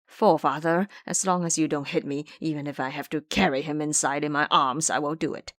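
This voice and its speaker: A woman's voice: sits low, fairly even intonation, fairly quick, no echo, studio quality, lightly acted. A woman voicing a timid, fawning male abbot, deferential and formal, in an audio drama. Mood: frightened pleading.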